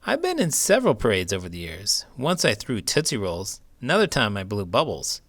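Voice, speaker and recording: A man's voice: fairly low pitch, wildly swooping pitch, fairly quick. A man hosting a children's show, reading a picture book aloud expressively with big emotions. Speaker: children's book reader and host. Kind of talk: expressive storybook read-aloud.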